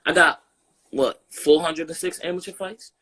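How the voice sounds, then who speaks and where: A man's voice: on the high side, normal speed, sharp tone. Laid-back New York man, casual talk. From Brooklyn, New York. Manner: laid-back, often adds "you feel me?". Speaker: boxer, interview guest. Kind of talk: casual interview chat.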